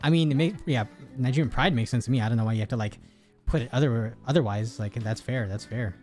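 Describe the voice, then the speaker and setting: A young male streamer with a mid-range voice interviews his guest in a relaxed, slangy way, full of encouragement. His home mic picks up faint music playing behind him. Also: medium pitch, fast. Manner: laid-back, slangy, hypes up the guest. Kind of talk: casual interview chat.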